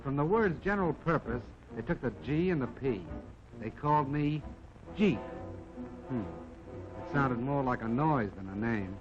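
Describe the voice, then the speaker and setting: A man's voice: medium pitch, expressive, unhurried, close to an everyday voice. A man voicing a modest, plucky army jeep that tells its own life story in a folksy, wry 1940s film narration. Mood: humble, wry. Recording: dull vintage 1940s film soundtrack, music behind.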